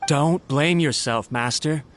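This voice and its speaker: An actor playing a loyal, worried attendant to a clan master in a video game, earnest and deferential. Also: male voice, fairly high pitch, takes it slowly, slight background noise. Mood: consoling.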